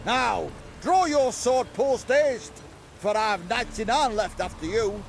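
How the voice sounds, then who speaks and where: An actor playing a pompous, foolhardy, lovestruck knight in a video game, bombastic and theatrical with archaic phrasing. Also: male voice, high voice, takes it slowly, creaky, slight background noise, exaggerated voice. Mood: bombastic, challenging. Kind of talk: comic video game acting.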